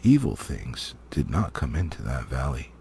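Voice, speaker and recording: A man narrating a storybook as an amateur reader, with dramatic, deliberate phrasing. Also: very deep voice, big pitch swings, vocal fry, dark tone.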